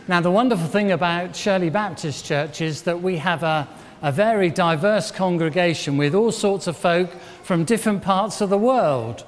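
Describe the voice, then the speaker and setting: An older man leading a church service, joking and teasing as he interviews members on stage with a handheld mic. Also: high voice. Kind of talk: leading an all-age service. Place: large church hall. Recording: reverberant church hall.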